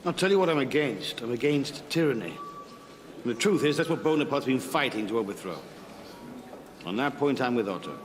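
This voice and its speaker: An actor playing a hot-blooded, idealistic composer in a period drama, blunt and impassioned, sometimes sardonic or tender. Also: male voice, medium pitch, expressive, fairly quick, slight background noise, lightly acted. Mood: spirited conviction. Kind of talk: period television drama acting.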